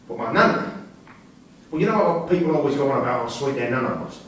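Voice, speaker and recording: A man's voice: mid-range voice, expressive, quick pace, close to an everyday voice. A man playing a volatile, resentful prisoner with a confrontational, menacing manner in a staged drama reading. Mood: aggrieved. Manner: confrontational, aggrieved. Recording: very echoey hall, distant mic, hiss.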